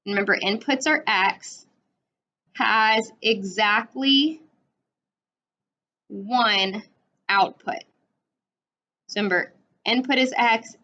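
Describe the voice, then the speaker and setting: A woman's voice: a little high, bright, forceful tone, slight background noise. A woman in her twenties teaching a math lesson, explaining step by step and checking in with a frequent "right?". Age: 20s. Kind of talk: recorded classroom lesson. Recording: dull lo-fi recording.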